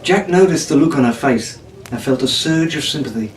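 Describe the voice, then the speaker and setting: A man's voice: fairly high pitch, lively intonation, fairly quick, distant mic. A man reading a scripted adventure story aloud as a dramatic narrator. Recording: echoey room from off-mic, faint noise.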